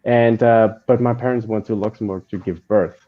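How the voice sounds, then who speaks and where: A man's voice: lowish voice, steady tone, normal speed, narrow, tinny audio. A man from Portugal whose first language is German, a guest on a video call, answering hesitantly with many "uh"s. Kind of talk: casual interview chat.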